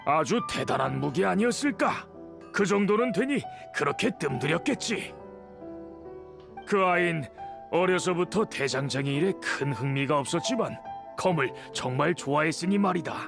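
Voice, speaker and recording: An actor playing an elderly, kindly master swordsmith in a video game, with an aged voice and measured, old-fashioned speech. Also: male voice, a little high, animated. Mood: troubled, measured. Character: elderly male master swordsmith, patient, kindly, worried for nephew. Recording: clear background music.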